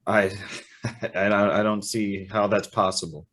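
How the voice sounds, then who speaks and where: A man's voice: on the low side, fairly even intonation, a little slow, fairly bright tone. Man as awestruck, naive young guest. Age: young adult. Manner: wondering, hesitant, stammering. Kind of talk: old-time radio drama acting.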